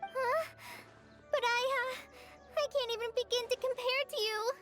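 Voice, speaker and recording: Small eager game apprentice: extremely high, animated, earnest, music clearly underneath. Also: female voice, moderate pace. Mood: flustered, earnest. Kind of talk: video game voice acting.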